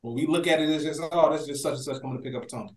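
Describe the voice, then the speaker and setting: A man co-hosting a casual TV recap podcast, talking in an animated, slangy way with plenty of swearing. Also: a little high, rapid-fire. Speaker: podcast co-host. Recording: roomy, echoey sound.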